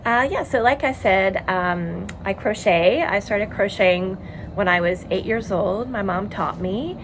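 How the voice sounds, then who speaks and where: A woman in her forties from Montreal with a Canadian accent, hosting a casual knitting podcast from home and rambling warmly with many ums and uhs. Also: medium pitch, a little slow, noisy background. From Montreal, Quebec, Canada. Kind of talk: casual chat. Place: home in a tiny house.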